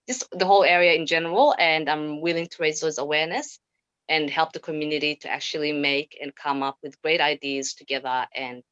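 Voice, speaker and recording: A woman's voice: low-pitched, fairly bright tone, clean tone. A woman speaking enthusiastically at a community town hall, repeating her points. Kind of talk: unscripted town hall remarks. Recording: dry, muffled sound.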